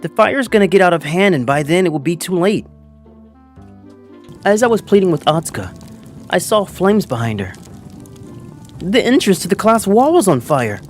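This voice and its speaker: A man's voice: high-pitched, sing-song, normal speed, pauses often, faint music behind. A man voicing an earnest twenty-five-year-old male teacher who narrates his own story and acts out his lines in a manga dub. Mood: alarmed, pleading. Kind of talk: first-person manga dub narration with acted dialogue.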